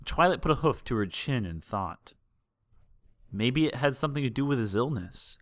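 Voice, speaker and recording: A man narrates a piece of fan fiction as an audiobook. His voice sits at a middle pitch and moves in an animated way. The sound is dry, with no room around it. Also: no room sound. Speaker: audiobook reader.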